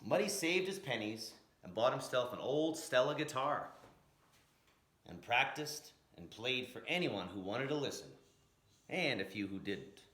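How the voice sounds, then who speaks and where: A man's voice: medium pitch, very animated, unhurried, a bit bright. A man reading a picture book aloud in a dramatic, emphatic way, drawing out key words. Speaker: storyteller, blues educator. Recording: echoey room.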